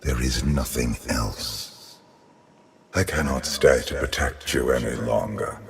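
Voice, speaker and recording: An ancient, imperious game villain, a man with an extremely deep, gravelly voice. He speaks with slow, deliberate menace and lofty contempt, like a ruler lecturing a disappointing subject. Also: exaggerated voice. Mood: stern, commanding. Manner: slow, deliberate, pompous, condescending. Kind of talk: theatrical video game villain acting.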